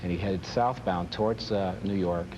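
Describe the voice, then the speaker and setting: A man's voice: sits low, moderate pace, dull audio. A man in an interview, plainly recounting events and his own thoughts. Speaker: air traffic controller being interviewed. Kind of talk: interview recollection. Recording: clearly noisy interview audio.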